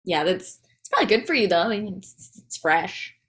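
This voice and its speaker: A woman's voice: mid-range voice, very animated, moderate pace, fairly bright tone. A woman playing a wry, candid wife and mother in a sitcom table read, dry and teasing.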